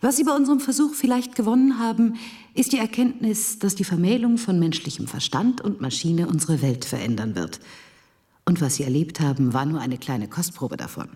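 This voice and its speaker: A woman's voice: fairly low pitch, animated, dark tone. An actress playing a composed female scientist formally addressing an audience in a radio play. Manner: formal, measured announcing. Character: composed female scientist presenting a virtual reality experiment.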